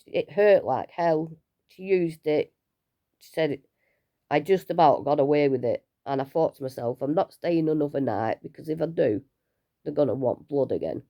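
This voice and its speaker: A woman, unwell and low on energy, talking casually and rambling a little in a personal vlog from home. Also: moderate pace, dark tone. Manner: chatty, rambling, self-deprecating with small laughs. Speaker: vlogger sharing her cancer treatment.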